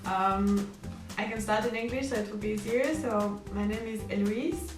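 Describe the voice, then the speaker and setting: A young woman in her twenties speaks English with a French accent, her first language being French. She answers in a measured, thoughtful way, heard from a little way off the mic in a roomy space, with music playing underneath. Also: mid-range voice. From France. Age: 20s. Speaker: guest, university student. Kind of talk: casual conversation. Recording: echoey room, mic at a distance, music behind.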